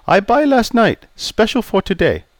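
A man's voice: medium pitch, wildly swooping pitch, a little slow, no room sound. A man voicing a prickly older male barber who speaks in clipped, broken English. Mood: gruff.

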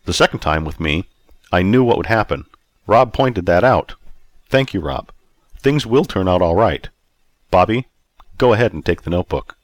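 A man plays a softer, well-off gentleman in an audiobook. He is friendly and encouraging, reassuring his listener with an expressive pitch range. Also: normal speed, long pauses, no echo. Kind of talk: acted audiobook dialogue.

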